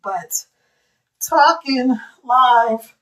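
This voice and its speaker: An older woman with a twang talks in a high, scratchy voice, hoarse from hours of talking. She chats in an easygoing, folksy way, calling her viewers "y'all." She takes her time, speaking slowly and unhurriedly. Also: expressive, fairly bright tone. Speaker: live streamer. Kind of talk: casual live-stream chat. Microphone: phone.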